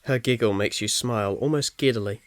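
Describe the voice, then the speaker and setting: A man's voice: mid-range voice, fairly quick, no echo. A man reading a scripted second-person ASMR story as its narrator. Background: birdsong, crickets and faint noise.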